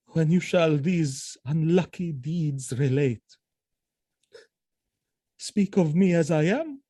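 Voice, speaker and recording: An anguished, proud general speaks in slow, weighty Shakespearean verse. The voice is mellow and recorded close and dry, with a declamatory, grief-laden manner. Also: male voice, high voice, no room sound. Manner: declamatory, emotionally heavy, drawn-out phrasing. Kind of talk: Shakespearean audio drama acting.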